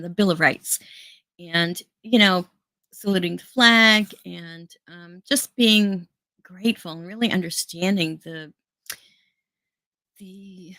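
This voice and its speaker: An American woman hosts an online show in a low, close voice with a dry sound. She talks earnestly and casually to her viewers, with plenty of fillers and restarts along the way. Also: vocal fry, fairly bright tone, no room sound. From United States. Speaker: host.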